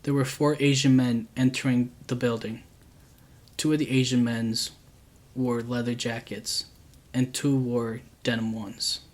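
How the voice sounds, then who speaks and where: A man narrates scary stories with a calm, unhurried read. His pitch sits in an ordinary middle range but barely moves, so the telling stays flat and even. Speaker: storyteller, channel host. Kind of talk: reading true scary stories aloud.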